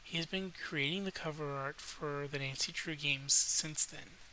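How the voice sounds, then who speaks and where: A man with a slightly rough voice, rambling in a relaxed, hesitant late-night style with frequent pauses, as a video host. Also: fairly high pitch, poor audio. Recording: noticeable faint background hiss.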